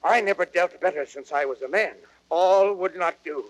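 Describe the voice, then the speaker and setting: Man playing a blustering, high-pitched fat old knight. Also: moderate pace, lo-fi sound, close to an everyday voice. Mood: boastful. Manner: blustering, declamatory, exaggerating. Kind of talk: old radio Shakespeare acting.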